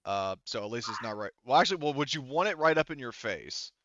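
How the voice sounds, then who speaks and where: A man's voice: mid-range voice, lively intonation, fast talker, a bit bright, dull audio, slight background noise. A man working as game master, narrating a tabletop roleplaying game casually and profanely, thinking aloud as he works through the rules.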